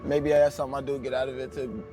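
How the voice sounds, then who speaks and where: A man's voice: mid-range voice, little pitch movement, quick pace, over music. A man, a rapper guest, talking bluntly and profanely in a casual interview. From Albany, New York.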